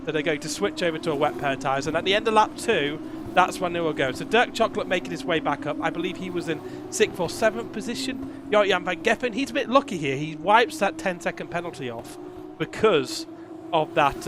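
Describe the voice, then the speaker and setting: A man commentating a sim race, chatty and quick to laugh, his voice worn from a cold. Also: fairly high pitch, lively intonation, background noise.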